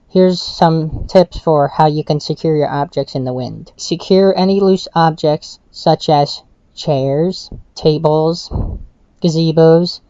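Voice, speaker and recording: A woman's voice: low voice, warm tone, no echo. A woman presenting a scripted weather bulletin in the style of a television news update. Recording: dull lo-fi sound.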